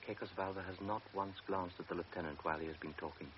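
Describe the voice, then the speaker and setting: Deep-voiced male drama narrator. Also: steady tone, fast. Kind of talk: scripted present-tense narration for radio drama. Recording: lo-fi radio recording with faint hiss.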